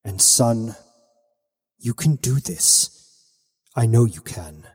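A man voicing a solemn, loving pirate father facing death, speaking slowly and low in an audiobook. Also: sing-song, darkish tone, breathy edge, big-room sound, exaggerated voice. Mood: solemn, tender.

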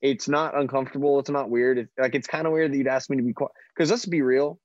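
A man co-hosting a podcast, telling casual stories in a loud, piercing voice with a joking, self-deprecating manner. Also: medium pitch, steady tone, fast talker, no echo, lo-fi sound. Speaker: podcast co-host. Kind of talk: casual banter and storytelling. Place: online video call.

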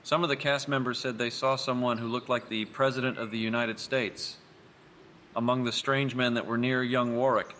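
A man's voice: medium pitch, fairly even intonation, normal speed, pushed and bright, slight background noise, natural voice. A man playing an earnest film director with a hesitant, formal manner in an audio drama. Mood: worried.

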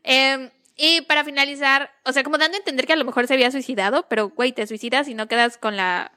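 A woman's voice: sits high, steady tone, fast talker, bright, forceful tone. A young Mexican woman with a chatty, slangy way of talking, casually telling a true-crime story as a podcast co-host. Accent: Mexican Spanish. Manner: chatty, slangy, laughs between lines.

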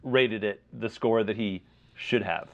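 Man podcasting on a dull, lo-fi mic. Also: fairly low pitch. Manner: halting, filler-heavy, backtracking explanations. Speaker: podcast co-host. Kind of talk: casual review chat. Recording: dull lo-fi replacement microphone.

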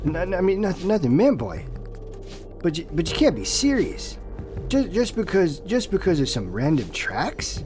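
A man's voice: sits high, wildly swooping pitch, normal speed. A man playing a nervous, placating small-town police colleague in an audio drama, speaking in a hesitant stammer. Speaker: voice actor. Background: music.

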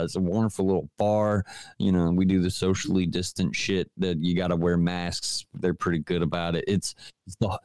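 Man, comedian, from West Virginia, casual swearing, smooth voice, dry sound. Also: low-pitched, darkish tone, no echo. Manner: loose, profane, joking. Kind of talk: casual podcast chat.

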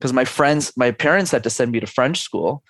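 A man in his twenties or thirties coaches with energy, laying out his advice in clear numbered steps. His voice sits at a middle pitch, and the sound is dry and close, with no room echo. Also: moderate pace, a bit bright. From Canada. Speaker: speech coach. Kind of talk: podcast interview conversation.

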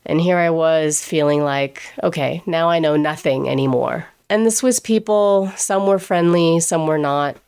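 Woman from England, pastry chef, chatty first-person storyteller who quotes others and laughs; smooth low voice. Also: measured pace, hardly any pauses, a little mellow.

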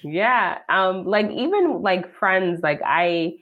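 A woman's voice: fairly low pitch, lively intonation, slow pace, phone-quality sound. A woman in her thirties from the United States, chatting casually as a podcast guest and laughing often. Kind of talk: casual interview chat.

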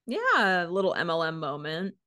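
A woman with a slightly low voice co-hosts a casual recap podcast. She tells things informally, like a story in conversation. The recording is very dry, with no audible room. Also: huge pitch swings, normal speed, sharp tone, no room sound. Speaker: podcast co-host. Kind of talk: casual film recap chat.

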